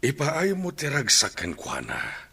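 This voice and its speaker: Man playing a husky, pleading father. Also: medium pitch, huge pitch swings, measured pace, pushed and bright, full character voice. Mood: insistent. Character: pleading, insistent father of an ailing daughter. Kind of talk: Ilocano radio drama acting.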